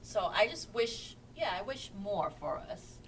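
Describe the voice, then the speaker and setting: A woman's voice: mid-range voice, big pitch swings, measured pace, far from the mic, crowd noise, low-quality recording. A woman in her thirties, co-hosting a casual live-stream chat at home, talking freely and laughing often. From England. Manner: chatty, laughs often, reads viewer comments aloud. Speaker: co-host of a couple's live chat. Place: small room at home, indoors.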